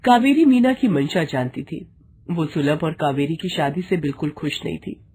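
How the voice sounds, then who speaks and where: A woman's voice: sits low, big pitch swings, moderate pace, warm tone, a little hiss or hum. A woman reading a scripted moral story as its narrator.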